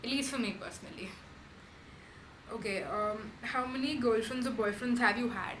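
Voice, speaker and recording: A woman's voice: a little high, moderate pace, a bit bright. A young woman with an Indian English accent, chatting casually as a vlogger answering questions, with frequent ums and likes. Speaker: beauty vlogger. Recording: echoey room with background noise.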